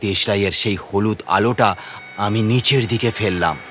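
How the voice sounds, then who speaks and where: A man's voice: lowish voice, normal speed, a bit bright, faint music behind. Suspenseful male horror story narrator. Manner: suspenseful, theatrical. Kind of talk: dramatic first-person scripted narration.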